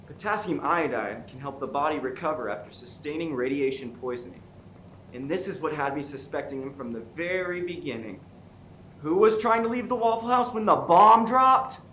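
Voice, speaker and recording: A man's voice: fairly high pitch, animated, pushed and bright. A man playing an earnest, dramatic FBI agent solving a murder in a comic radio play, recorded in an echoey room with background noise. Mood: explaining. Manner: deliberate, dramatic deducing. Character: one-eyed male FBI agent turned earnest, dramatic sleuth. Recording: roomy, echoey stage with clear background noise.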